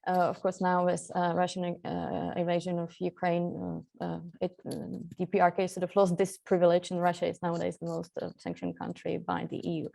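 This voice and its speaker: A woman's voice: fairly low pitch, gravelly, a little mellow. A woman from Central Europe speaking as a guest expert on a webinar panel over a video call, with many fillers and restarts.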